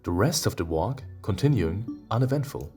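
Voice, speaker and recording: Deep-voiced, very animated male game streamer. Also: moderate pace. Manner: excitable, playful, exclaiming reactions. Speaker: streamer playing and reading a game aloud. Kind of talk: let's play of a visual novel, reading text aloud with excited reactions. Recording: music behind the voice. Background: game music with plucked guitar.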